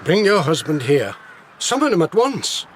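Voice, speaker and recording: An actor playing an insistent older Victorian uncle in a radio drama, urgent and somewhat pompous. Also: male voice, a little high, sing-song, a little slow, a little hiss or hum. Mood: exasperated, urgent.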